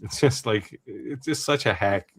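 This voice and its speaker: A man hosting a casual live maker chat, laughing as he explains software in a rambling way. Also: mid-range voice, measured pace, gravelly. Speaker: live show host.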